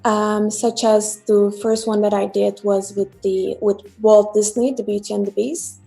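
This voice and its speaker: A woman's voice: mid-range voice, little pitch movement, a little slow, vocal fry, dark tone, soft background music. A woman from Turkey with a Turkish accent, chatting casually as a guest artist about her own work on a live stream. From Turkey, Istanbul. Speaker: guest illustrator and digital artist. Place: at home, remote video call.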